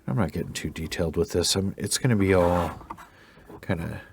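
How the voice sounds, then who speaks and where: A man with a raspy voice, fighting off a cold, talking softly through a drawing tutorial as he sketches and thinks aloud. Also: low-pitched, fairly quick, noisy background. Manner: soft-spoken, thinking aloud while sketching, sometimes half-whispered. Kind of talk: relaxed drawing tutorial commentary.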